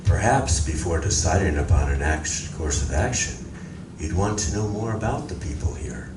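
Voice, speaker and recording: Radio play: man as mild, formal spaceman, low yet lilting, recorded from a distance with noticeable noise. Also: animated. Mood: courteous. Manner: polite, measured, formal phrasing. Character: mild, courteous, curious male visitor from another planet. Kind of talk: stage radio drama acting. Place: community room of a public library. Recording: roomy, distant, noisy live library recording.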